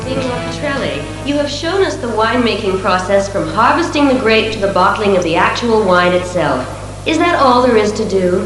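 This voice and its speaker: A woman's voice: medium pitch, lively intonation, moderate pace, a bit bright, lightly acted. A woman playing a polite, inquisitive television news reporter in an audio drama. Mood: polite, curious. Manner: crisp questions, polite. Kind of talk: audio drama acting as interviewer. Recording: very echoey room, faint music.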